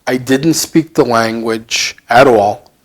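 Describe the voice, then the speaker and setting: Male, 70s, heavy Brooklyn accent. Slow, halting, reflective interviewee, plain and self-deprecating. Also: mid-range voice. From Brooklyn, United States. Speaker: interview guest.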